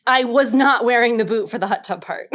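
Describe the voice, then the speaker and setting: A woman casually telling a personal story as a panelist, stressing words in an animated way. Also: on the high side, a little fast. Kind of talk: casual anecdote on a comedy game podcast.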